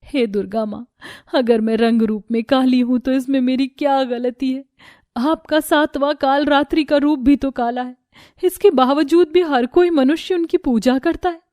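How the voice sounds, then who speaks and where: A woman voicing a gentle, sorrowful and devout young daughter-in-law in a moral story, soft and pleading in manner. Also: sits high, no room sound, studio quality.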